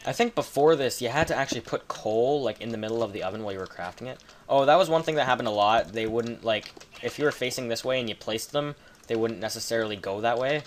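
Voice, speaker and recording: A man's voice: mid-range voice, quick pace, a little hiss or hum. A man with a Canadian accent giving rambling, casual commentary while playing a game, swearing now and then. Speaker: gaming video creator.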